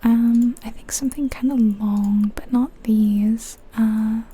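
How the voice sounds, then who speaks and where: Woman whispering breathy, slow ASMR. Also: fairly high pitch, fairly even intonation. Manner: unhurried, softly musing aloud. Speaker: ASMR video creator. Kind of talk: whispered ASMR game commentary.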